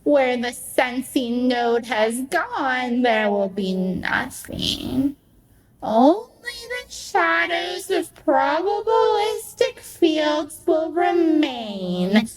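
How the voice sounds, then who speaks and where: Woman playing slow, solemn mystic. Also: sits high, sing-song, full character voice, rough recording. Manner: solemn, ritualistic. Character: solemn female mystic intoning a litany. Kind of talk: incantatory radio play recital.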